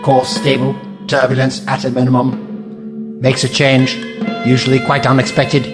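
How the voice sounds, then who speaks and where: Man playing a formal, measured time traveller, music behind. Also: medium pitch, completely flat delivery, close to an everyday voice. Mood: cold, detached. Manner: measured, clipped, formal statements. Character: eccentric male time traveller under cold machine control. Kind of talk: science fiction audio drama acting.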